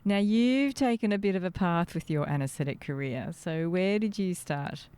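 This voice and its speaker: A woman's voice: fairly low pitch, normal speed, darkish tone, clear, resonant tone. A woman hosting a podcast interview in a conference booth, asking questions in a friendly, encouraging way with many short acknowledgements. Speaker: podcast host. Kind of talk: conversational interview.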